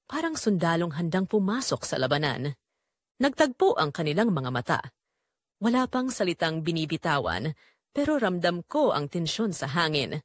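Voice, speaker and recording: Expressive woman narrator, dry close sound. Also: lowish voice, moderate pace, dry recording. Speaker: audiobook narrator reading a first-person romance story. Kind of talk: dramatic scripted narration.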